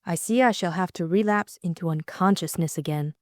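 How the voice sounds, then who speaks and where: A woman voicing a witty, teasing young Englishwoman, dry and brisk. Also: fairly low pitch, dry recording, lightly acted. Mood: wry.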